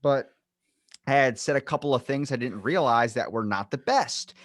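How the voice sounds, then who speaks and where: Male podcast host, medium pitch, very dry recording, casual, blunt and tangential. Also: normal speed, no room sound.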